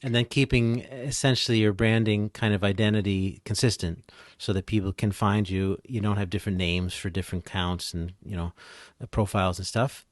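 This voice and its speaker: A man with a fairly low voice talks casually as an expert guest on a podcast. His delivery is conversational, and the recording is dry, without room sound. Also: fairly even intonation, a little fast, few pauses, no echo.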